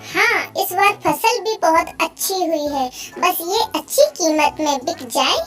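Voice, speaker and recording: A gentle cartoon mother bird talks in an extremely high, childlike pitch. She is caring and a little anxious, soothing as she goes. Faint music runs underneath. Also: measured pace. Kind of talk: cartoon character acting.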